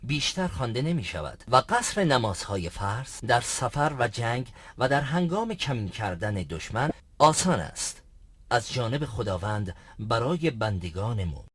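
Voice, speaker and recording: A man plays a learned religious teacher in an educational cartoon. His earnest, didactic delivery is formal yet moves widely in pitch, around a middle register, and the recording is dry. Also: sing-song, husky, no room sound. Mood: explanatory. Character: learned male religious teacher, earnest, explaining to travelling companions. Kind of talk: scripted educational cartoon dialogue.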